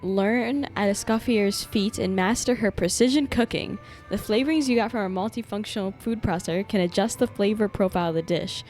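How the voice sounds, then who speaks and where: A woman's voice: medium pitch, brisk, hardly any pauses, a little mellow. A young woman livestreaming a game, commenting casually and playfully, exclaiming often and reading dialogue aloud. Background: game music.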